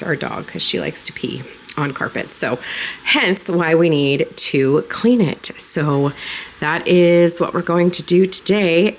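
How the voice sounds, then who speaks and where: A woman hosting a home vlog, talking casually and a little hoarse, with lots of fillers in a living room. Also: deep voice. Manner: rambling and friendly, with many fillers. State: slightly hoarse. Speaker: home vlogger and channel host.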